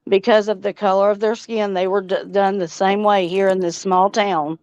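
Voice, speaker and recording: Woman livestream host, smooth clear voice, blunt and folksy, slightly dull audio. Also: medium pitch, steady tone, moderate pace, darkish tone, no echo. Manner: blunt, folksy, salty-tongued. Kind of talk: casual livestream chat.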